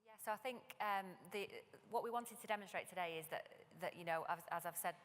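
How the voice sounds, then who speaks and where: Woman, conference co-presenter, fast talker, faint room ambience, distant echoey hall sound. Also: lowish voice, a bit bright, slight background noise. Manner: loose, conversational, with fillers. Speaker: workshop co-presenter. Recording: distant mic in reverberant hall.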